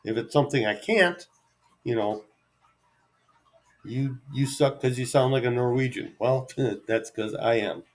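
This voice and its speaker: A middle-aged man talks off the cuff to his camera in an average-pitched voice. His delivery is easygoing and halting. He gives an unhurried, self-deprecating ramble rather than a polished script. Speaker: vlogger. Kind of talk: unscripted solo ramble to camera.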